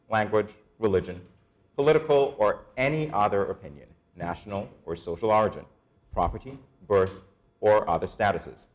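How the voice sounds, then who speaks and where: A man hosting a morning show, reading his lines in a measured, scripted way before turning to a guest. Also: lowish voice.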